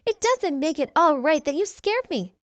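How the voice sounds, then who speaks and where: A woman's voice: sits high, sing-song, normal speed, no echo, voice pushed up. A woman voicing an indignant, stubborn young girl superhero in a storybook, with a pouting, insistent delivery. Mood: hurt, stubborn.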